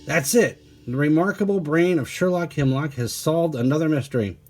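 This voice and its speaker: A man voicing a pompous, excitable male detective from a children's storybook, grandly sure of himself and full of exclamations. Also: on the high side, normal speed, faint music behind, voice pushed up, natural voice. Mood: indignant boasting. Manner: grandiose, exclamatory, self-assured.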